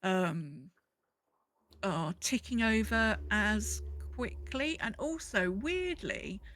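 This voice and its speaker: A woman's voice: mid-range voice, expressive, drawn-out words, a bit bright, dry recording. A woman hosting a gaming podcast in casual, conversational chat. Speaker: podcast host.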